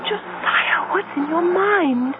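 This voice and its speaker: An actress playing a captain's isolated, anxious young wife in a 1970s radio drama, hesitant and protesting. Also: female voice, very high voice, expressive, takes it slowly, exaggerated voice. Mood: defensive, frightened. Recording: noisy, tinny old radio broadcast.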